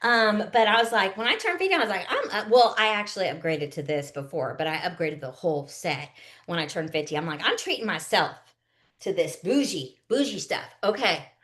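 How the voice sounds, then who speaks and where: A woman's voice: lowish voice, expressive, fairly quick, a bit bright. A woman giving a casual skincare demonstration, chatty and folksy, often checking in with her listeners. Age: early 50s. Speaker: skincare consultant hosting a live online demonstration. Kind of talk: casual instructional chat, product demo.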